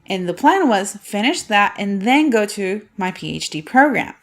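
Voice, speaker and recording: A woman's voice: medium pitch, very animated, moderate pace. A woman in her thirties from Puerto Rico, hosting a solo podcast and telling a personal story in a chatty way. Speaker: podcast host.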